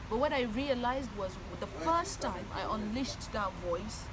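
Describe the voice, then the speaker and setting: A woman's voice: on the high side, lively intonation, unhurried, a crowd in the background, rough recording. A woman, a poet speaking earnestly and motivationally in a casual outdoor chat. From Nigeria. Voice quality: husky voice. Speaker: guest poet. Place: outdoors by a busy street. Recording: loud outdoor traffic noise.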